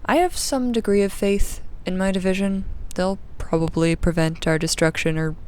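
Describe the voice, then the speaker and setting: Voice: medium pitch, moderate pace, clear, resonant tone, close to an everyday voice, rough recording. An actor playing a sardonic, uneasy young crew member recording an audio diary in a casual, rambling, sarcastic way. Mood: sarcastic, agitated. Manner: wry, sarcastic, informal, rambling. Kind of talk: audio drama diary monologue, casual and conversational.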